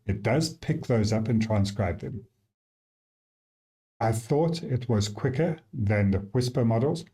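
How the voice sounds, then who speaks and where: A man with a South African accent, the app's developer, casually walking through his software and thinking aloud with frequent fillers. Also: lowish voice. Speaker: app developer giving a demo.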